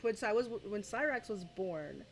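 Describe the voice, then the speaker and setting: A woman's voice: medium pitch, lively intonation, normal speed, a little hiss or hum. A young adult woman streaming, rambling through crude, profane reaction commentary with laughing asides.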